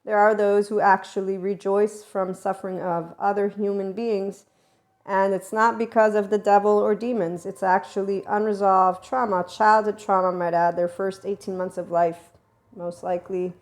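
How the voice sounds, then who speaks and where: Emphatic woman podcast host, middle pitch. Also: flat delivery, moderate pace. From America and Italy. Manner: rambling, emphatic, shifting loudness often. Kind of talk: spiritual self-help monologue.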